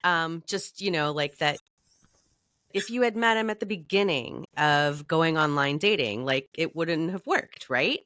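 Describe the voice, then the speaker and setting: A woman hosts a casual interview in a low voice that swings freely up and down. Her speech is informal and chatty, peppered with "like". The sound is dry, with little room in it. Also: animated, measured pace, fairly bright tone, dry recording. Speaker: podcast host.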